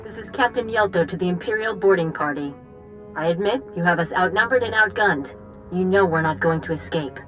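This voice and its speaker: A woman playing a cool, resolute starship captain in a video game, defiant and steady in a military way. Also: fairly low pitch, fairly quick, faint music behind, close to an everyday voice, rough recording. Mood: composed, defiant. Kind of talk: video game cutscene acting.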